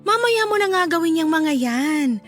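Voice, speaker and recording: A woman's voice: very high-pitched, very animated, moderate pace, fairly bright tone, soft background music, full character voice. A woman playing a brazen, clingy, seductive mistress in Filipino radio drama, with a coaxing, theatrical delivery. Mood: coaxing, flirty.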